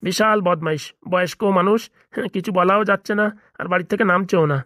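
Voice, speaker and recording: A man's voice: high-pitched, fast, sharp tone, no room sound, clean studio sound. A man voicing a blunt, self-important small-time gangster boss in a comedy cartoon, bossy and businesslike with a Bengali accent. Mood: grumbling. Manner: bossy, businesslike, repeatedly seeking agreement.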